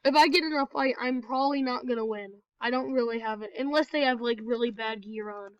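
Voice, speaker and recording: A woman's voice sits a little high and sounds stuffed up and nasal from a cold, chatting in a loose, rambling way while she plays. The recording is dull and lo-fi, with no room sound at all. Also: brisk, clear, resonant tone, dry recording. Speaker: gameplay video host. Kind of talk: casual game commentary.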